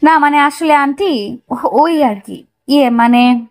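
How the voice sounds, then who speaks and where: Audio-story bride , acted by a woman: young, shy but feisty, high voice. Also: slow pace. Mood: flustered. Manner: bashful, protesting.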